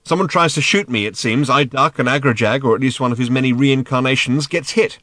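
A man's voice: mid-range voice, a little fast, bright, forceful tone, no room sound, clean studio sound, voice pushed up, close to an everyday voice. A man voicing an earnest, matter-of-fact male character in an audiobook, explaining things insistently with a raised voice. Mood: emphatic. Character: earnest, matter-of-fact man raising his voice over a din.

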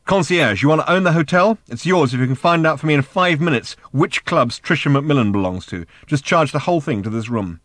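A man's voice: fairly high pitch, lively intonation, fairly bright tone, no room sound, pitched above the performer's own voice. Man acting a brash, impatient, bossy fellow. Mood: urgent. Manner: brisk, bossy, flippant. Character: brash, impatient, carefree male alien hitchhiker. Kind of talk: audiobook character acting.

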